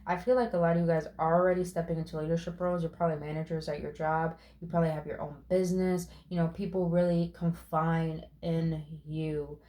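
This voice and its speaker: A woman's voice: deep voice, little pitch movement, mellow. A woman giving a casual spiritual tarot reading, affirming and chatty, swearing casually and murmuring "mm" between card meanings. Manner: drawn-out words with murmured "mm". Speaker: tarot reader.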